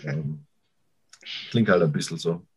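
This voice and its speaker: A young man with an Austrian accent, a college football player answering interview questions in a halting way, often restarting sentences. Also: lowish voice, big pitch swings, gravelly, husky. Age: young adult. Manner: halting, restarts sentences while searching for words. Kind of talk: casual interview talk.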